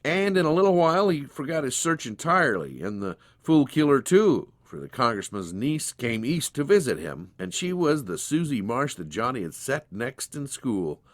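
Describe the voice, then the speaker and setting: A man's voice: medium pitch, big pitch swings, normal speed, few pauses, dry recording. A man narrating a dramatized storybook tale in a folksy storytelling manner.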